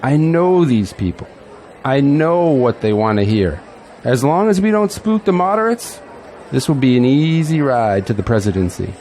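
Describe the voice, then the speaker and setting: A man's voice: medium pitch, big pitch swings, normal speed, darkish tone, a little hiss or hum, natural voice. A man acting in an audio drama as a seasoned, self-assured middle-aged politician with an emphatic delivery. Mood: confident.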